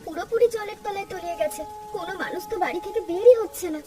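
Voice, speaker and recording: A woman as high-voiced ailing village wife. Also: racing. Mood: plaintive. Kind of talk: Bengali cartoon story dialogue.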